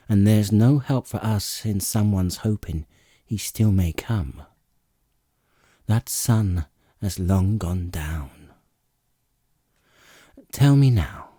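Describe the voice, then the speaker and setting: Thoughtful princely son male reader, epic audiobook; grave, mournful, low and slow, with expressive swells. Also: breathy edge, no echo, clean studio sound, heavily acted. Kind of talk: dramatic epic audiobook reading.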